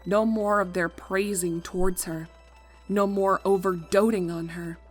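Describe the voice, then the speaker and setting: A woman reading fan fiction as narrator, expressive and dramatic, leaning on key words. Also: medium pitch, unhurried, breathy edge, faint music behind. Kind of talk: expressive scripted story narration.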